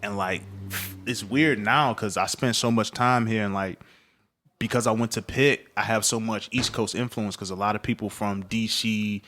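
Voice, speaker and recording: A man from Cleveland telling stories in a casual, profane podcast chat. He is animated and laughs often. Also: lowish voice, moderate pace. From Cleveland, Midwest US. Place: podcast studio.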